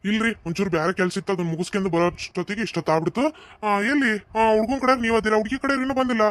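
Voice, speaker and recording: A man's voice: sits high, steady tone, quick pace, fairly bright tone, dry recording. A man voicing a middle-aged village priest in a cartoon, speaking with a North Karnataka Kannada accent. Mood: explaining. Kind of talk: cartoon voice acting.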